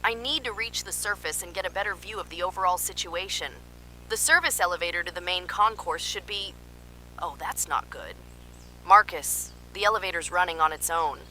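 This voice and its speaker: A woman's voice: on the low side, moderate pace, pushed and bright, a little hiss or hum, poor audio. A woman playing an alarmed park scientist, giving urgent, clinical field reports in an analog horror drama. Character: alarmed female park scientist transmitting desperate emergency warnings.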